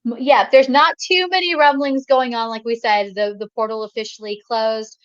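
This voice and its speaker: A woman's voice: a little high, fairly even intonation, normal speed, no room sound, lo-fi sound. A woman co-hosting a college football show, chatting with many fillers and restarts. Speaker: co-host. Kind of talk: sports talk commentary.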